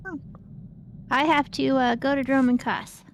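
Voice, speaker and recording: A woman's voice: high-pitched, fairly even intonation, a little slow. A woman chatting casually as she co-hosts a live video game stream. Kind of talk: casual live gameplay chat. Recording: stream with faint background noise.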